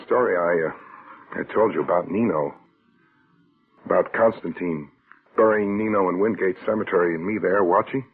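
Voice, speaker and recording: Hard-boiled radio thriller hero, tinny old recording. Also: male voice, low voice, moderate pace, long pauses, husky. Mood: uneasy, searching. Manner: terse, sardonic, dramatic. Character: hard-boiled, haunted man caught in a murder plot. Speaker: actor narrating as lead character. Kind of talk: 1940s radio thriller acting, first-person narration and dialogue.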